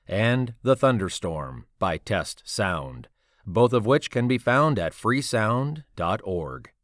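A man giving a formal, measured scripted announcement as a credits reader. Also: lowish voice, fairly bright tone, dry recording, clean studio sound. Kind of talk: scripted narration.

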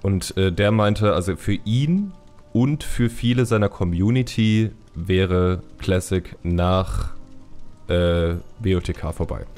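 A man's voice: low voice, slow, a little mellow, soft background music. A man hosting a casual podcast interview. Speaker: podcast host.

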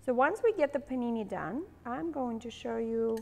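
Woman in her 40s, bright kitchen host, put-on Southern accent, voice a touch high, reverberant room with light music underneath. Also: lively intonation, normal speed, creaky. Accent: playful put-on Southern US. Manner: chatty, bubbly, laughs often. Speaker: cooking show host. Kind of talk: cooking demonstration to camera. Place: large bright home kitchen.

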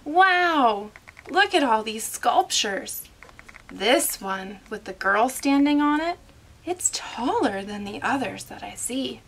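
A woman's voice: fairly high pitch, lively intonation, slow pace, gravelly, fairly bright tone. A woman reading aloud to young children as host, instructing and prompting them with questions.

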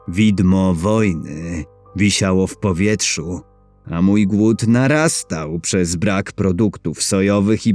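A man's voice: on the low side, a little mellow. A man narrating a scripted short story in the first person, as for an audiobook.

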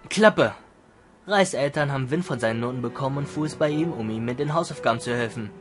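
A man's voice: mid-range voice, fast talker, lightly acted. A man playing a smug, grumpy older teenage boy in an audio drama, with a curt, teasing, dismissive manner. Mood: grumbling. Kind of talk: fan audio drama dialogue in German. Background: music.

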